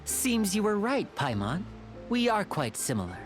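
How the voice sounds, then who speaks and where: Woman voicing a poised, animated young game character. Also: mid-range voice, natural voice. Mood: thoughtful, amused. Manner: polite, measured, articulate. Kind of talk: video game character acting. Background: music.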